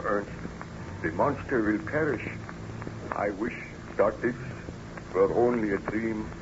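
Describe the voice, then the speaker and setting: An actor playing an anguished yet ardent baron scientist in old-time radio drama, formal and melodramatic, on an old radio recording. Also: male voice, on the low side, highs cut off, noisy background, natural voice. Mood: resolute, troubled.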